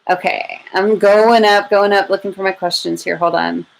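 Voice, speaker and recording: A woman's voice: mid-range voice, steady tone, moderate pace, poor audio. An American woman hosting a live tarot reading, chatting informally to her viewers and thinking aloud as she goes. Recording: clear background noise and room ambience.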